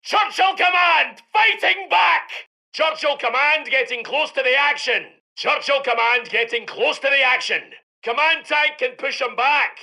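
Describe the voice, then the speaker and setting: A man's voice: extremely high pitch, unhurried, creaky, bright, forceful tone, shouting, exaggerated voice. A man voicing a brash, battle-eager British heavy tank commander in a military game, barking out battle cries and reports. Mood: commanding, urgent. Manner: barked battle cries and radio-style reports. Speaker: video game voice actor. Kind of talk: military game unit voice lines.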